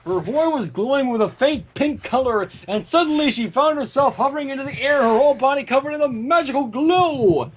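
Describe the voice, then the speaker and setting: A man reading fan fiction aloud as the narrator, in an animated storytelling style. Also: very high voice, a little fast, few pauses.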